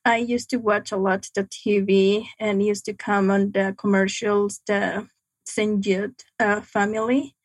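Slow, halting young woman, second-language English. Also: medium pitch, little pitch movement, no room sound. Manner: halting, reflective, frequent pauses. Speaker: guest. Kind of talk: personal testimony in an interview.

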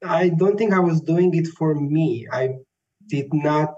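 Brief: Romanian-accented male tenor, 30s, unhurried and searching for words, muffled audio. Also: fairly high pitch, dark tone. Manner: slow, thoughtful, searching for words. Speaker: classical tenor, guest. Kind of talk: reflective interview answers. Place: Vienna. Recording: dull lo-fi sound, faint music.

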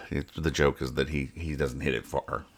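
A man's voice: very deep voice, steady tone, unhurried, fairly bright tone, slight background noise. A man hosting a casual comedy podcast, reading out and explaining things in a rambling, mock-serious way. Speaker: host of a comics-reading podcast.

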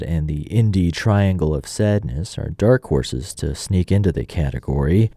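Deep, mellow American radio host. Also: male voice, no room sound. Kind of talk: scripted arts news narration.

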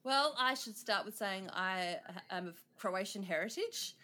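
A woman's voice: mid-range voice, lively intonation, a little slow, sharp tone. A middle-aged Australian woman, a composer and conductor, talking casually as an interview guest, with many ums and frequent laughs.

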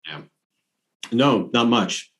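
A man's voice: medium pitch, expressive, slow, fairly bright tone. A middle-aged man hosting an interview, speaking in an informal, rambling conversational way. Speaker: interviewer, host. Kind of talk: casual chat.

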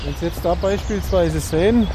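A man's voice: high voice, moderate pace, background noise. A man, a town official, explaining things matter-of-factly during an outdoor interview on a walking tour.

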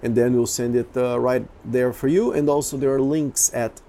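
A man whose first language is Portuguese, talking casually as a photographer, with many pauses, fillers and restarts. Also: medium pitch, fairly even intonation, warm tone, a little hiss or hum. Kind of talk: casual chat.

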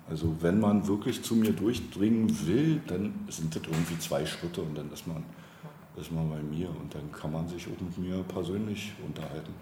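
Guy with a deep voice chatting casually, stops and starts, dry humour, echoey room. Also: mellow. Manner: halting, searching for words. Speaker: interview guest, political candidate. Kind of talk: casual conversation. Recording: roomy echo with background noise.

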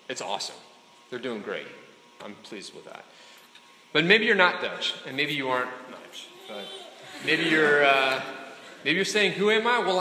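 A man preaches to a crowd in a relaxed, talky way and keeps checking in with his listeners. His voice is bright and forceful. The echoey hall rings long behind him, with faint room noise underneath. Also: mid-range voice. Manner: chatty, keeps checking in with "right?" and "okay?"; joking asides to the crowd. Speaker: speaker addressing students. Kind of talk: casual conversational sermon.

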